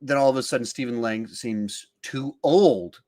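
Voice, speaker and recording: A man hosting a podcast in casual discussion, acting out his reactions in animated asides. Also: mid-range voice, normal speed. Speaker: podcast host.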